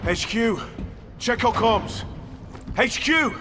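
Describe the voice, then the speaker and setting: An actor playing a frustrated, capable field operative in a sci-fi shooter game, with a terse, gritty manner. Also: male voice, very high voice, lively intonation, takes it slowly, music in the background, exaggerated voice. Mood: alarmed. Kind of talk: video game voice acting. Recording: roomy, distant, noisy game audio.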